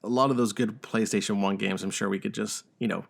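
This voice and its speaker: A man's voice: lowish voice, steady tone, quick pace, creaky, breathy edge. Casual male podcast host. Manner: conversational, swears freely. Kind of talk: casual podcast chat about games and film.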